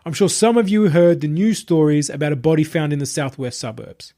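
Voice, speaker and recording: Man voicing a calm, high-pitched self-styled messiah. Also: a little fast, lightly acted. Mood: soothing, preaching. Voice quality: husky. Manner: calm, composed, grandiose, soothing patter to followers. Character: smooth preaching male internet idol, possessed, self-proclaimed messiah.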